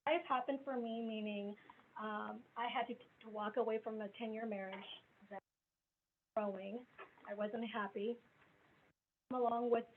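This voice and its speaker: Woman speaking over a tinny call line. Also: fairly high pitch. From grew up in the US Midwest. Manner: candid, conversational storytelling. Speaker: business coach presenting. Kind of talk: webinar self-introduction. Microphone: video call. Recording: tinny phone-like video call line with faint noise.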